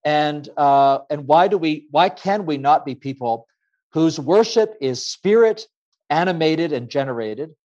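A man's voice: a little high, animated, a little mellow. Reflective male author guest, raised in Ecuador, thoughtful delivery, lo-fi remote sound. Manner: measured, thoughtful, many fillers. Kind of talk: reflective interview answer.

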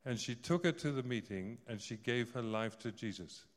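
A man's voice: mid-range voice, lively intonation, normal speed. A man in his sixties preaching a sermon, telling stories with joking asides. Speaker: visiting preacher. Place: church service hall. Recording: long reverb of a large hall.

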